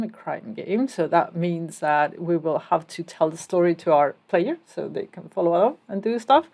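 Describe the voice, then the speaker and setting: A woman from Spain giving a casual step-by-step coding tutorial as she types. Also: fairly low pitch. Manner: explains step by step while typing, casual asides. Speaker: programming instructor.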